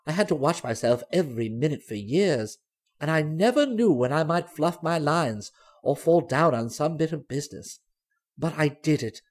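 A proud middle-aged mother with a stage past, played by a male storyteller. Her voice sits fairly high and she speaks earnestly, confiding with open emotion. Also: a little mellow.

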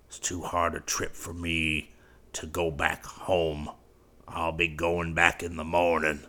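A hard old rancher with a deep, slow growl that snarls through every curse, as a man puts him on. His voice stays low and heavy, impatient with whoever is talking to him. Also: little pitch movement, sharp tone, heavily acted. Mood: snarling.

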